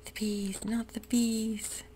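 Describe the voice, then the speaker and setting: A woman giving soft-spoken, whispery commentary while she plays a computer game, with frequent ums. Keyboard typing can be heard close to the mic. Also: fairly high pitch, level tone, slow. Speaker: ASMR gaming creator. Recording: noisy home recording.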